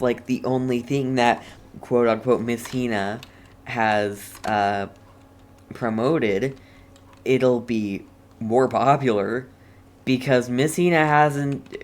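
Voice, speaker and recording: A game streamer chats casually through a playthrough. The delivery is slow and hesitant, as if thinking aloud, with faint background noise. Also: medium pitch.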